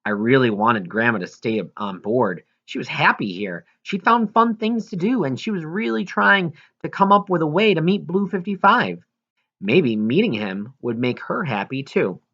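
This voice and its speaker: A man reading a first-person story aloud in an expressive, earnest way, now and then stumbling. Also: on the high side, normal speed, highs cut off. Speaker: audiobook reader.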